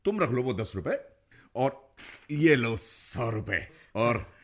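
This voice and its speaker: An actor playing a wealthy family patriarch in a radio play, blustery yet easily cowed, with a husky voice. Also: male voice, mid-range voice, very animated, takes it slowly. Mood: bossy, generous.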